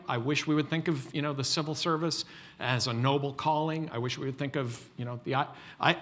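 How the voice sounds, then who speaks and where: A man's voice: fairly high pitch, fairly bright tone. A man from the United States answering questions on a panel, talking emphatically with many restarts.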